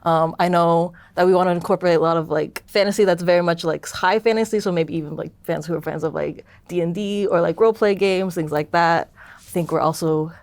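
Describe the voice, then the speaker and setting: A woman's voice: on the low side, steady tone, brisk, darkish tone, no room sound. A woman explaining things casually in an interview as a co-creator, in loose lists full of "like". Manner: loose, listing way of explaining with frequent "like". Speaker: co-creator of an audio drama.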